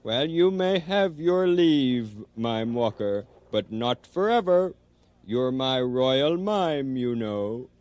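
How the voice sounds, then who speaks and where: A pompous puppet king speaks slowly and grandly, as a man plays him, drawing out each royal word. His voice rises a little higher and louder than his usual speech. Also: lively intonation, dry recording. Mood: regal. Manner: stately, slow, drawn-out royal speech. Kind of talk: children's puppet show.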